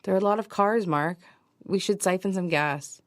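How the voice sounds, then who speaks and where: A woman's voice: medium pitch, moderate pace, long reverb tail, lightly acted. A woman playing a weary, determined survivor, narrating a first-person audio drama and acting out her dialogue. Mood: concerned, insistent. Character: Ava, weary, determined young woman surviving an alien invasion. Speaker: narrator and lead actor.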